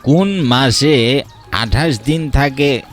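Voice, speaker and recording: A man voicing a mysterious, commanding stranger who poses riddles in a cartoon story, speaking in a deliberate, theatrical way. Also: medium pitch, close to an everyday voice. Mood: testing, solemn. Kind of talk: Bengali cartoon story dialogue. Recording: music behind the voice.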